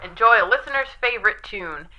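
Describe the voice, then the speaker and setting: Chipper 1920s radio personality played by a woman, piercingly bright, scripted delivery, muffled recording. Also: mid-range voice, expressive, a little fast. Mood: upbeat. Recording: dull lo-fi recording.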